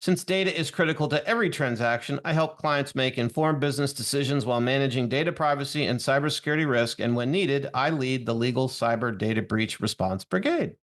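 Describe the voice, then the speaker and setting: A man's voice: mid-range voice, lively intonation, brisk, few pauses, a bit bright. A man co-hosting a podcast, reading a scripted intro and trading dry, teasing jokes with his co-host. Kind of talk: scripted intro and joking banter.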